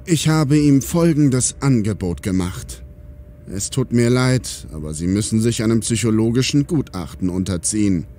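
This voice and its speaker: A man's voice: medium pitch, animated, moderate pace, warm tone, natural voice. Man playing a calculating commander, noisy background. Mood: grave, resigned. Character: calculating male police commander, diplomatic, self-protecting. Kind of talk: German audio drama acting.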